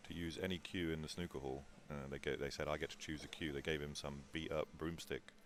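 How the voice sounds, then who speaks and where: A man's voice: extremely low pitch, fairly even intonation, fast, slight background noise. A man commentating a pool match live, chatty and full of player anecdotes.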